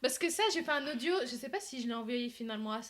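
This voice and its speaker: Casting: bright, high-voiced woman host, animated and chatty. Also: racing. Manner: animated, asks questions. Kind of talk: casual chat.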